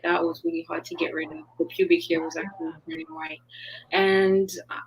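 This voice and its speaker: A woman's voice: fairly low pitch, big pitch swings, brisk, gravelly, highs cut off, a little hiss or hum. A woman from Haiti, a business founder, talking earnestly in a casual interview and laughing often.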